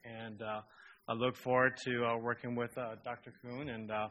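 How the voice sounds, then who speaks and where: A man's voice: mid-range voice, flat delivery, normal speed, dull audio, a little hiss or hum. A newly appointed man giving a short, hesitant thank-you speech with many fillers. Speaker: new staff appointee.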